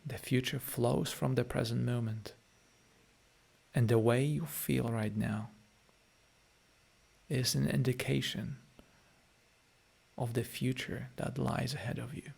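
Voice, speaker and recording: A man's voice: mid-range voice, fairly even intonation, takes it slowly, gravelly, pauses often, darkish tone, breathy edge. A man with a Polish accent, a meditation teacher leading a guided meditation and a live-stream chat, speaking to listeners in short, direct phrases.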